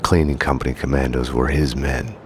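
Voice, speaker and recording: An actor playing a world-weary hard-boiled detective in a video game, delivering terse, deadpan noir lines. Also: male voice, a bass voice, brisk, background noise. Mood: grim, brooding. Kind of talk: scripted noir game narration.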